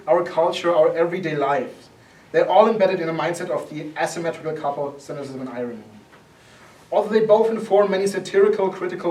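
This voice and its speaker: A man's voice: a little high, far from the mic. Young man giving conference opening remarks. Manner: earnest, lecturing, quoting scholars. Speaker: conference organizer. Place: university lecture hall. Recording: echoey hall, speaker off mic.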